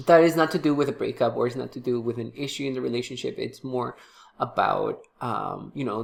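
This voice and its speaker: A man with a cold, sounding congested, talking casually to camera about his tarot decks with many pauses and restarts. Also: mid-range voice, creaky. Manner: halting, many fillers and restarts. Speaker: tarot reader and channel host.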